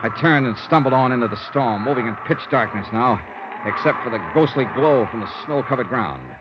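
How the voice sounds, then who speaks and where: An actor playing a hard-boiled investigator who tells his own ordeal in a deliberate, intense 1950s radio drama style. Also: mid-range voice, lively intonation, background noise, lightly acted. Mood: grim, dramatic. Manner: deliberate, intense, theatrical storytelling. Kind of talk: 1950s radio drama acting, dramatic first-person narration. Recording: dull vintage radio recording with prominent music.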